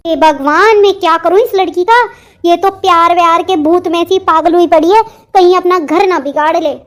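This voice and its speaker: A woman's voice: very high-pitched, normal speed. A woman voicing a middle-aged, stern and bossy mother of a bride in a melodramatic Hindi cartoon, nagging and threatening as she talks. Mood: worried.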